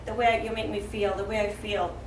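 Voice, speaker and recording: A woman giving a heartfelt, slightly halting personal tribute at a community event. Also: mid-range voice, little pitch movement. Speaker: community member giving thanks. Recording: echoey hall from far off, some noise.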